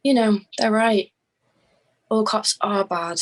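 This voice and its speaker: A woman's voice: mid-range voice, slow pace. A woman playing a defiant, cornered suspect in a crime drama table read, speaking insistently.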